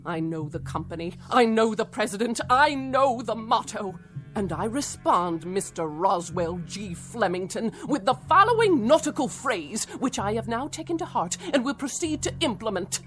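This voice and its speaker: Exasperated, high-voiced, sardonic middle-aged wife. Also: female voice, animated, normal speed, fairly bright tone, faint music behind. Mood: cutting sarcasm. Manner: sardonic, emphatic, theatrical. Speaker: actor in a radio drama. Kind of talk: theatrical radio drama acting.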